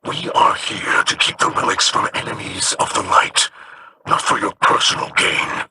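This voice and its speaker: A man voicing a grave, weary alien pirate captain in a video game, with a gravelly, formal delivery. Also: moderate pace, bright, forceful tone. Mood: solemn. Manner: solemn, formal. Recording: noisy game audio.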